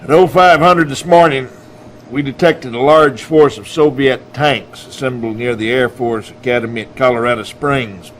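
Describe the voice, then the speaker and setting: An actor playing a gruff, blustery middle-aged army general in a video game cutscene, blunt and folksy in manner. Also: male voice, mid-range voice, normal speed, a little hiss or hum, close to an everyday voice, rough recording. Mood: grumbling.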